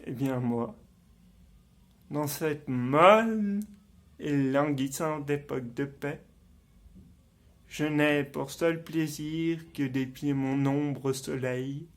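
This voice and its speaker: A bitter, misshapen nobleman schemes in a Shakespearean stage monologue. His speech is extremely slow and deliberate, declaimed with theatrical weight and villainous intent. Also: sits high, fairly bright tone, exaggerated voice. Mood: quiet, sinister plotting. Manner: declamatory, deliberate, dramatic delivery.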